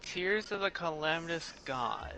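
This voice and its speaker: A man's voice: on the high side, big pitch swings, measured pace, creaky. A man chatting casually as a co-commentator during a video game stream. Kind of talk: casual chat during gameplay.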